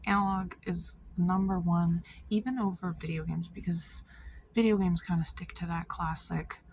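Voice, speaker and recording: A woman's voice: lowish voice, fairly even intonation, normal speed, darkish tone, slight background noise. A woman giving casual vlog commentary in an informal, slangy way, peppered with "like" and swearing. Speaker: video creator.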